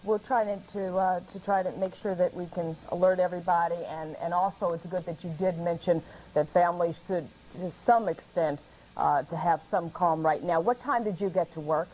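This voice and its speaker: Lower-voiced female news anchor. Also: fairly even intonation, moderate pace, darkish tone, rough recording. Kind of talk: unscripted live breaking-news interview.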